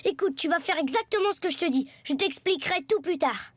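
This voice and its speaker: A woman's voice: very high-pitched, brisk, dry recording. A woman voicing an eager, earnest young schoolboy hero in a French cartoon. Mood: confident. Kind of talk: French cartoon dub acting.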